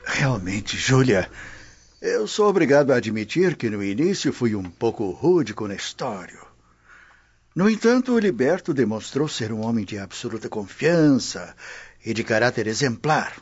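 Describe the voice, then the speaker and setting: An actor playing a proud, somewhat stern Roman nobleman in a radio drama, speaking in a deliberate, measured way. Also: mid-range voice, very animated, breathy edge, no room sound. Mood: reflective. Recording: dull lo-fi audio in a dry studio.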